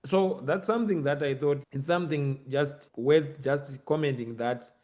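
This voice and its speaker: A man's voice: on the high side, normal speed, a little mellow. A man with a Zimbabwean accent hosting a conversational podcast discussion about everyday matters. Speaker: host.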